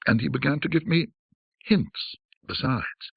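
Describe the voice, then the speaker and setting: A man voicing a frightened, haunted man in a ghost story, agitated and halting as he confesses a terrible secret. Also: medium pitch, gravelly, breathy edge, no echo, dull audio, voice pushed up. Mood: haunted, confiding. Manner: agitated, hesitant, halting pleas.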